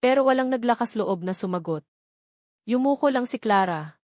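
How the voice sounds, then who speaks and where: A woman narrating a dramatic first-person story in a measured, suspenseful storytelling manner. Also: a little high, expressive, darkish tone, no echo, studio quality.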